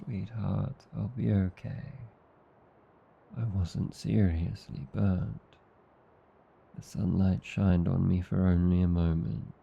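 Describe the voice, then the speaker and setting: A man with an Australian accent playing a gentle, reassuring vampire husband in an intimate ASMR roleplay, tender and soothing. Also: highs cut off, full character voice. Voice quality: low, dark, gravelly. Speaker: voice actor.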